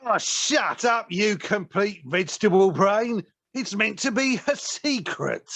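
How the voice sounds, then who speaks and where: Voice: medium pitch, expressive, slow pace, a bit bright, heavily acted. An actor playing a sly, menacing boss weasel who sneers and bullies in a children's audio play. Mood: angry bullying. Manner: menacing, sneering, drawling with sudden snaps. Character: sly, scheming, bullying boss weasel villain. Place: living room at home, recorded over video call.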